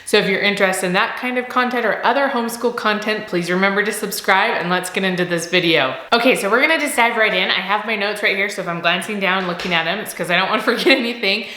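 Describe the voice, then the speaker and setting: A woman in her thirties, a homeschooling mom, chatting casually in a vlog, slightly nasal from a cold, upbeat and quick to laugh. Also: mid-range voice, bright, forceful tone, reverberant. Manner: upbeat, laughs often, repeats words for emphasis.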